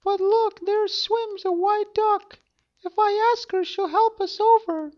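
Man putting on a little girl, high falsetto, pleading, slow, lively, muffled lo-fi. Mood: earnest. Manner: pleading, drawn out. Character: frightened little girl, brave and clever. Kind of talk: storybook reading. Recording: dull lo-fi recording.

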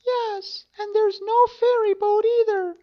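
A man voicing a frightened but clever little girl in a high falsetto, pleading and drawn out, in a storybook reading. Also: flat delivery, measured pace. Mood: earnest. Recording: dull lo-fi recording.

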